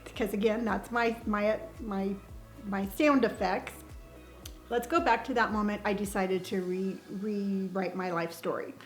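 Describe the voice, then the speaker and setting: A woman's voice: mid-range voice, steady tone, unhurried, soft background music. A middle-aged woman talking earnestly to her viewers about taking back her power, emphatic, sighing now and then and restarting her words. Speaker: vlogger sharing life advice. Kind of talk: personal motivational talk to camera.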